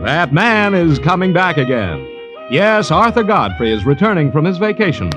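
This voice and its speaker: American male announcer, old-time radio style, highish pitch, animated melody, music underneath. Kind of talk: 1940s-50s radio announcing, scripted.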